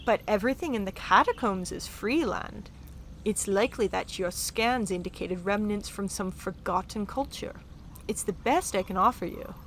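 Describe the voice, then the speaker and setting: Woman playing courtly dignitary with creaky voice. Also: medium pitch, normal speed, a bit bright, close to an everyday voice. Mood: gracious, assured. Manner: formal, courtly, assured. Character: gracious, self-assured female alien dignitary, scholarly guide. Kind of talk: sci-fi audio drama acting. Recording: faint background noise.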